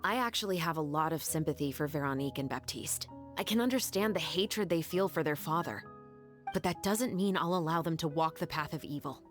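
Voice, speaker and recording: A resolute young female officer of justice from a video game acted by a woman. Her voice sits a little low, and she speaks earnestly and with formal composure over background music. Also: close to an everyday voice. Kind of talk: video game cutscene acting.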